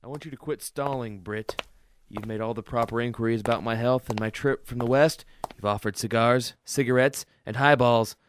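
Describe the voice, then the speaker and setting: A gruff, impatient father of a grown son, played by a male actor, in the style of an old radio play. He is brusque and blustering, pressing for answers in a mid-pitched voice. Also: steady tone, normal speed, heavy background noise, lightly acted. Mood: exasperated, demanding. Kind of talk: old-time radio drama acting.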